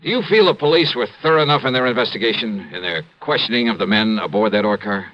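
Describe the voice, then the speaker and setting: An actor playing a shrewd, laconic American investigator in a 1950s radio drama, probing and dry. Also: male voice, lowish voice, animated, quick pace, lightly acted. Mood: measured, probing. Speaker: lead actor in a detective radio serial. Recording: muffled vintage radio recording, music underneath.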